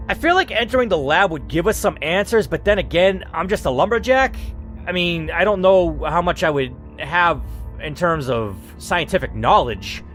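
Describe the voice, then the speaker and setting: A man reads a choose-your-path story aloud in first person and talks through his choices between passages. His pitch swings freely, and faint music plays underneath. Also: lively intonation, normal speed, fairly bright tone. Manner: reads in first person, then breaks off to think aloud and curse. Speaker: player reading a story game aloud. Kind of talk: reading narration with playthrough commentary.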